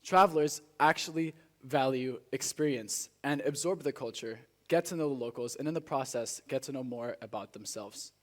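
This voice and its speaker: Teenage male student speaker, medium pitch, earnest and rehearsed public-speaking delivery with deliberate phrasing. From Spain, born in South Africa. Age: teenager, high school student. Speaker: student speech contestant. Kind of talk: rehearsed motivational speech to a live audience.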